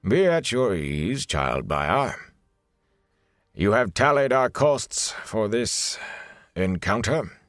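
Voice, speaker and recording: A man voicing a gray-haired lord captain in an audiobook, mild and measured, with quiet authority. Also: fairly low pitch, sing-song, no echo, studio quality, soft background music, voice pushed up, heavily acted. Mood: mild, commanding. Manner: mild, gentle, measured rebukes. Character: gray-haired lord captain, calm, mildly commanding older officer.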